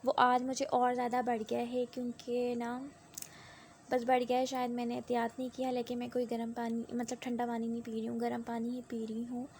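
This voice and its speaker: A woman's voice: fairly high pitch, monotone, fairly quick, darkish tone, slight background noise. A young woman with a sore throat and a cough, chatting through a rambling vlog voice-over. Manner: rambling and conversational, with many tag fillers. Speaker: vlogger.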